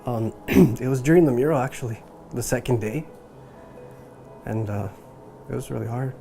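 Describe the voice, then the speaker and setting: A man talking informally and haltingly about his own life in a casual interview. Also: mid-range voice, gravelly, dark tone, faint music behind. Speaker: artist interviewee.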